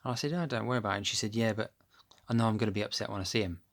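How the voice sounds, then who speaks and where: A man with a low, deep voice talks casually into a phone camera held close. His delivery rambles, drifting off track and filling the gaps with ums and "anyway". It sounds homely and unpolished, recorded at home. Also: very fast, creaky. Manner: rambling, loses his thread with many ums. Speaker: vlogger. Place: at home, upstairs room.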